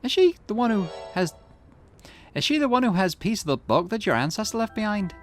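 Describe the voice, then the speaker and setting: A man's voice: high-pitched, sing-song, fairly quick, soft background music, pitched above the performer's own voice. A man voicing a brash, loyal young woman from a video game, with a blunt, teasing manner. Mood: exasperated.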